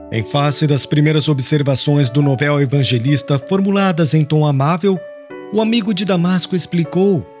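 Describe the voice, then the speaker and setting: Guy narrating an audiobook, formal storyteller, slightly high voice, music behind. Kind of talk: scripted literary narration.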